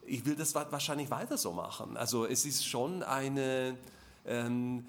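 A man in his late forties whose first language is Slovak, speaking at an author panel. He talks haltingly, full of fillers and restarts, in a voice of ordinary pitch. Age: 40s. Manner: hesitant, many fillers and restarts. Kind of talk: panel discussion at a reading.

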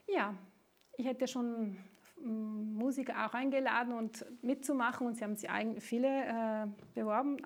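A woman with a noticeable Italian accent speaks in a voice a touch higher than usual, with a gravelly grain. She answers interview questions hesitantly, restarting phrases and reaching for words. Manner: hesitant, searching for words, many fillers. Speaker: songwriter.

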